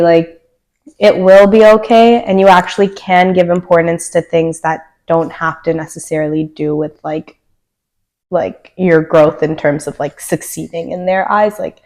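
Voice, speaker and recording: A woman's voice: fairly low pitch, darkish tone. Chatty young Canadian woman. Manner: chatty, filler-heavy with many likes, laughs often. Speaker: guest. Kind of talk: casual podcast conversation.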